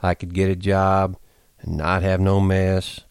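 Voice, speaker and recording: A man voicing a weary, gruff, resigned ranch hand from a novel, terse and wooden in rural American dialect. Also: on the low side, a little fast, a little mellow, no echo, studio quality, close to an everyday voice.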